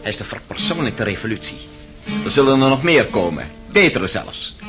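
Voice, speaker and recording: Radio play role: disdainful intellectual son played by a man, irritable, curt, mid-pitched with very lively inflection. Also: normal speed, music in the background, lightly acted. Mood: scornful. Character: scornful, irritable intellectual grown son of drunkard. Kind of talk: radio drama acting.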